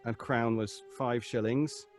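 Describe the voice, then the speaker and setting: A man's voice: medium pitch, fairly even intonation, slow pace, faint music behind. A man with a British accent, a performer, chatting casually as he explains things on a live show.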